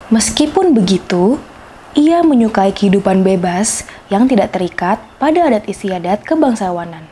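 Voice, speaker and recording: Female host for children's folktales, mid-range voice, expressive scripted narration, light musical bed. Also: mid-range voice. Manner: addresses young listeners directly, expressive. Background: faint music.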